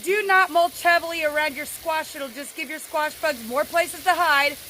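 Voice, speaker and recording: A woman with a high-pitched voice, slightly under the weather with a cold and sore throat, chats informally as she explains things for a gardening vlog. Her delivery is folksy and casual, and she is recorded outdoors with clear background noise. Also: moderate pace, pushed and bright. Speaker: gardening vlogger, host. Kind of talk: casual how-to vlog talk.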